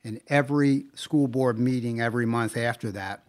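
A middle-aged or older American man tells an anecdote in a conversational, deliberate way, pausing as he goes. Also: medium pitch. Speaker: talk show participant. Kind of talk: conversational storytelling.

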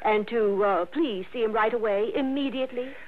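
An American actress playing a flustered, polite office secretary in a 1960s radio drama, hesitant yet insistent. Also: female voice, a little high, normal speed, lightly acted. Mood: nervously insistent. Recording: muffled lo-fi vintage radio.

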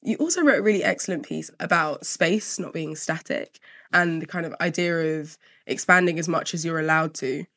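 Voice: medium pitch, moderate pace, pushed and bright, no echo. Chatty podcast co-host, dry close sound. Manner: chatty, laughs easily. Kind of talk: casual interview chat. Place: in London.

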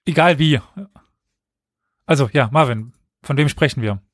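A man's voice: mid-range voice, measured pace, no echo, studio quality. A man co-hosting a podcast quiz, chatting casually, putting questions and judging the answers. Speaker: quiz co-host.

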